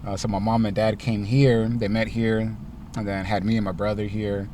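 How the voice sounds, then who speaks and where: A young man from Los Angeles, a rapper, answering interview questions in a car in a relaxed way, with lots of ums and likes. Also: lowish voice, normal speed, far from the mic, a little hiss or hum. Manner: laid-back, many ums and likes. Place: inside a parked car.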